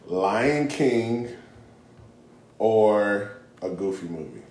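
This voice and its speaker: A man's voice: on the low side, lively intonation, very slow, darkish tone. A man from Illinois hosting a podcast, firing this-or-that questions at his guest in a teasing way and pressing him with repeated questions. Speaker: podcast host. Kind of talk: casual podcast chat, rapid-fire this-or-that questions. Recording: echoey room with faint noise.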